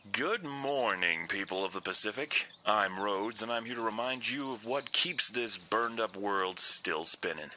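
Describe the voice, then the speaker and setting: A man playing a wry post-apocalyptic radio disc jockey in an audio drama, delivering measured showman's patter. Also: fairly low pitch, bright, forceful tone, a little hiss or hum, heavily acted.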